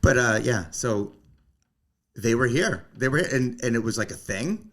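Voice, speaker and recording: Raspy-voiced man with a joking edge. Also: medium pitch, normal speed. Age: 40s. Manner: joking, deadpan asides. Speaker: podcast host. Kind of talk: casual comedic chat. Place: home basement game room.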